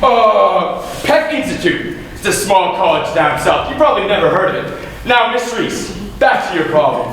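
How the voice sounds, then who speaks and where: A male actor in a school stage comedy playing a shady, flustered, smooth-talking therapist with a glib, sarcastic manner. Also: sits high, very animated, moderate pace, a bit bright, exaggerated voice. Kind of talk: live amateur stage comedy acting. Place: school stage, recorded from the audience. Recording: echoey hall, distant mic, noisy audience room.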